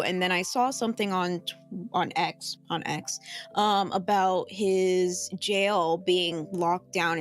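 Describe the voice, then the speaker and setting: A young adult woman with a mid-range voice, talking excitedly and loosely on a podcast. She fills her sentences with ums and likes. Faint music sits in the background. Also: medium pitch. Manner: chatty, lots of ums and likes. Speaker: podcast co-host. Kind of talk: casual chat.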